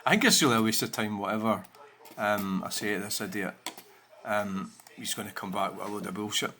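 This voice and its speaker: A man's voice: on the low side, brisk, sharp tone, a little hiss or hum. A Scottish man hosting his own channel, ranting indignantly and profanely about viewer comments with plenty of "you know" fillers. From Scotland. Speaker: channel host.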